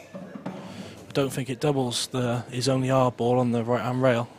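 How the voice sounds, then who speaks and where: A man chats as co-commentator on a pool livestream, his delivery flat and even in pitch. He hesitates often and fills the gaps with ums, keeping it conversational. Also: a little slow, noisy background. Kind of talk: casual live-stream commentary.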